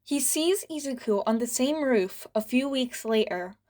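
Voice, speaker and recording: A woman with a fairly high voice reads fan fiction aloud for her channel. She narrates in a light, steady storytelling manner. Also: a little slow. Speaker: podfic reader.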